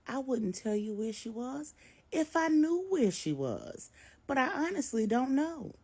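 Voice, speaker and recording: A woman's voice: high-pitched, sing-song, a little slow, a little mellow, slightly rough, voice pushed up, full character voice. A woman voicing a blunt, knowing old mother in a story, sassy, emphatic, affectionate but scolding. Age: older.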